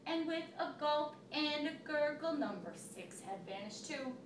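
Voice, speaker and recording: A woman's voice: high voice, lively intonation, a little slow. A woman teacher reading a picture book aloud to a child, telling it expressively and stopping to ask questions. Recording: echoey room, recorded from far off, noisy.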